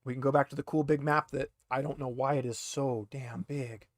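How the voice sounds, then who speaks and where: A man's voice: mid-range voice, steady tone, fairly quick, no room sound. A man narrating as game master in a casual, conversational way, explaining rules to players.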